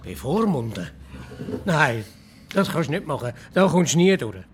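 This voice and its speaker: Village official in radio play, swiss german accent, high lively voice, blunt n practical. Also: male voice, moderate pace, husky, heavily acted. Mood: dismissive, protective. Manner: blunt, matter-of-fact. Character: plain-spoken, pragmatic male village official, exasperated but fair.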